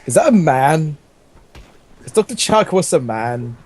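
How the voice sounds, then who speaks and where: A man's voice: a little high, very animated, measured pace, darkish tone, slightly rough. A young man casually reading fan fiction aloud, stumbling over lines and joking along. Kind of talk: casual story reading with banter.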